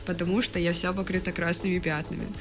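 A woman's voice: lowish voice, level tone, fast, over music. A young woman chatting casually as a podcast co-host, laughing often and swearing freely.

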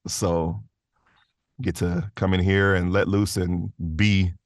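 Deep-voiced man chatting casually. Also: little pitch movement, takes it slowly, no room sound, clean studio sound. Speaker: voice actor, podcast guest. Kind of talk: casual conversational interview. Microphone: close studio microphone in a vocal booth.

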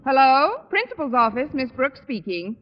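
An actress playing a wry, wisecracking English teacher with a dry, arch manner in a radio sitcom. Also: female voice, high voice, big pitch swings, moderate pace. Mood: dry, deadpan. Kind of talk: 1940s-50s radio sitcom acting. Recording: muffled, very noisy old radio, studio audience.

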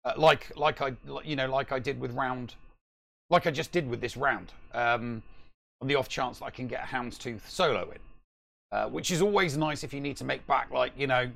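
A man's voice: medium pitch, normal speed, vocal fry, a bit bright. A man co-hosting a casual gaming podcast, talking in a rambling, emphatic way and repeating himself for stress. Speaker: podcast co-host.

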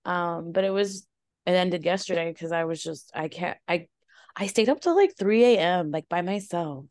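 Raspy low-voiced young woman. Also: normal speed, dry recording. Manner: relaxed, rambling, laughs often. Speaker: podcast co-host. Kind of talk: casual chat.

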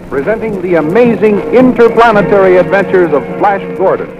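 A man's voice: high-pitched, lively intonation, brisk, loud music behind the voice. A man doing promotional old-time radio announcing on a dull vintage recording.

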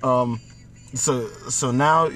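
A man co-hosting a casual podcast from a car, rambling and joking at himself, with his voice going hoarse. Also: mid-range voice, fairly even intonation, drawn-out words, fairly bright tone, slight background noise. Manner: rambling, self-mocking, laughs often. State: losing his voice. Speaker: co-host.

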